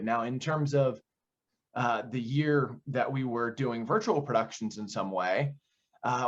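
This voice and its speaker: A young American man giving earnest, explanatory answers in a conversational interview as a theater director and actor. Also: mid-range voice. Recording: dull, lo-fi audio.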